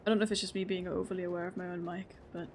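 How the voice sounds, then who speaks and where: A woman streamer chatting casually while she plays, relaxed and self-deprecating, swearing now and then and laughing often, a deep voice at a desk mic set back a little. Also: rapid-fire, slight background noise. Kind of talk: casual livestream chat while playing. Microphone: desk mic moved a little further from her face.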